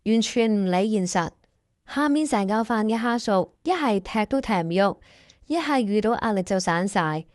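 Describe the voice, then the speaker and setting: A woman's voice: fairly high pitch, no room sound, studio quality. A woman with a Hong Kong Cantonese accent hosting and narrating a scripted workplace audio drama. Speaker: host and narrator.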